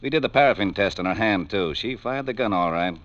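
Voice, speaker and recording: A man's voice: lowish voice, animated, a little fast, fairly bright tone. An actor playing a blunt, sceptical, exasperated male homicide lieutenant in a 1940s radio drama. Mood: matter-of-fact. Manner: blunt, sardonic, impatient. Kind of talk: 1940s radio detective acting. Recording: dull old radio recording.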